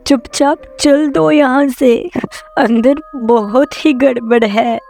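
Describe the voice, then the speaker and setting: A small, frightened cartoon boy, played by a woman, speaks in a high, creaky voice, drawing words out extremely slowly. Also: darkish tone, faint music behind.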